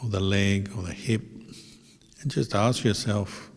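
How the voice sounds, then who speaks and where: Slow, low-voiced man guiding meditation in hall. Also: steady tone. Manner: gentle, unhurried instruction with pauses. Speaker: Buddhist monk, meditation teacher. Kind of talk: guided meditation. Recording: long hall reverb.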